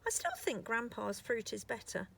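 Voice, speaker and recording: A woman's voice: fairly high pitch, big pitch swings, normal speed, vocal fry, fairly bright tone, slightly rough, heavily acted. A woman voicing a stubborn young boy who is proud of his grandpa, in an insistent, emphatic storybook manner. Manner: insistent, emphatic, sometimes sighing. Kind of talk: children's storybook character reading.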